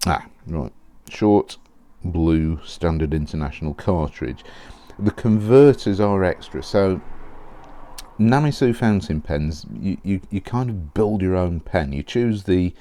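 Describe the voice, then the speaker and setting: A man talking casually while unboxing and looking over a pen, rambling and correcting himself, clearing his throat often as he loses his voice. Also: sits low, expressive, a little slow, mellow. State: losing his voice. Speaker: fountain pen reviewer.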